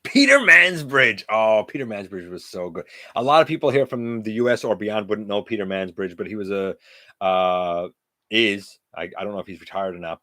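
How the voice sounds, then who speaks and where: A middle-aged man with a loud, carrying voice hosts a casual livestream, chatting and answering viewers' comments. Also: lowish voice, dry recording, studio quality. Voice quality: loud voice that carries. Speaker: livestream host; teacher. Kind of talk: casual live-stream chat with viewers.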